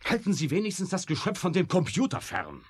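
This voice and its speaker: Cynical, animated scientist. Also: male voice, high-pitched, a little fast, breathy edge, heavily acted. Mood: urgent, defiant. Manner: sarcastic, emphatic, blunt. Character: cynical, hot-headed male scientist, defiant and urgent. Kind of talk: science-fiction radio play acting. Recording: noisy vintage radio drama recording.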